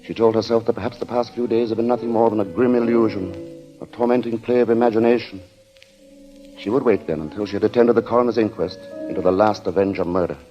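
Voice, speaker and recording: Man as grave radio suspense narrator, formal and measured, music behind, muffled old recording. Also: fairly low pitch, fairly even intonation, lightly acted. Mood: grave, ominous. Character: grave storyteller of a suspense tale.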